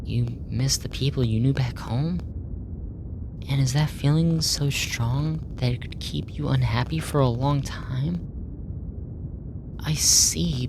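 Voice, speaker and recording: A woman playing a curious, earnest alien researcher in an ASMR roleplay, speaking formally and clinically, puzzled by human feelings. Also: heavily acted. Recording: noisy with low rumble.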